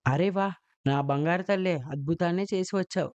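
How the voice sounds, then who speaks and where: Guy doing a kind cartoon dad, smooth mellow voice, bit muffled. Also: sits high, brisk, lightly acted. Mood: proud. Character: kind, reassuring father in a cartoon family.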